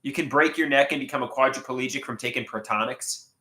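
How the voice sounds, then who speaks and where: A man's voice: medium pitch, sharp tone. Echoey, stuffy-nosed man in his thirties. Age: 30s. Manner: halting, repeats words and restarts sentences. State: stuffed nose. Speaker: livestream host. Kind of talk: casual livestream chat reading viewer comments. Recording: echoey room.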